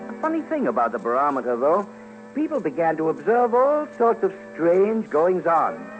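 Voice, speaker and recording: A male commentator delivers theatrical documentary narration with widely swinging pitch. It is an old radio recording with clear music behind him. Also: huge pitch swings, moderate pace, narrow, tinny audio. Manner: theatrical, emphatic. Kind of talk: dramatic scripted documentary narration.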